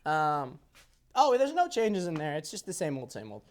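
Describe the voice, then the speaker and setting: A man's voice: a little high, wildly swooping pitch, moderate pace. Jokey, rambling young man. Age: early twenties. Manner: jokey, self-deprecating, rambling with playful exaggeration. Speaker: host giving a workshop tour. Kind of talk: casual off-the-cuff walking tour chat. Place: indoor workshop.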